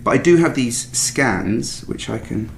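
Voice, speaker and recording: An actor playing a police detective in a naturalistic radio thriller, casually chatty but probing and quietly insinuating. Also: male voice, medium pitch, animated, unhurried, creaky, a little hiss or hum. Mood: probing, matter-of-fact. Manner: casual but pointed, questioning.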